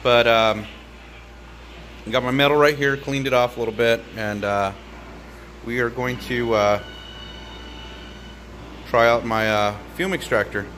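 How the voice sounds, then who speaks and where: A man's voice: mid-range voice, fairly even intonation, fairly bright tone, a little hiss or hum. A middle-aged man talks through a welding test in his home garage, stopping often to hunt for words. He is recorded on a phone lying on the workbench, sometimes from a few steps away. The air conditioning hums faintly behind him. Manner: rambling, halting with many fillers. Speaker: hobby welder vlogger. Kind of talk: casual demonstration vlog. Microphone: phone camera set on the bench, sometimes far off.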